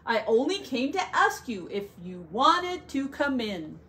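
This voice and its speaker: A woman's voice: sits high, sing-song, a little slow, fairly bright tone, full character voice. A woman voicing a friendly, daring male buffalo in a storybook, cheerful and inviting.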